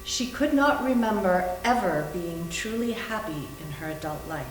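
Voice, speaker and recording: A woman's voice: medium pitch, animated, moderate pace. A woman giving a scripted dramatic reading of a novel in a readers theater. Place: indoor room, live library reading. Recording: echoey room with faint hum.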